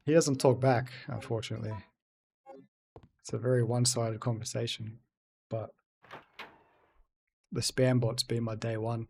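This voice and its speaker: A man's voice: medium pitch, little pitch movement, a little slow, creaky, pauses often, darkish tone, a little hiss or hum. A man streaming a video game, chatting casually with viewers and reading their messages aloud. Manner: chatty, reads and answers chat aloud. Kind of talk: casual let's-play commentary.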